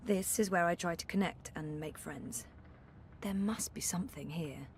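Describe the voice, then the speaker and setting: Woman acting hushed, low-pitched musing young heroine. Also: normal speed, close to an everyday voice. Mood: pensive. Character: reflective young heroine's inner thoughts. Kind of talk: video game inner monologue. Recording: faint background noise.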